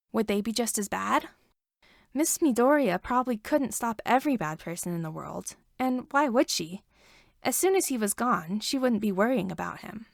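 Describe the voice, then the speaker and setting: A woman reading fan fiction aloud as an expressive storyteller with wry asides. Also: a little high, vocal fry, no echo, clean studio sound. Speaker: podfic reader.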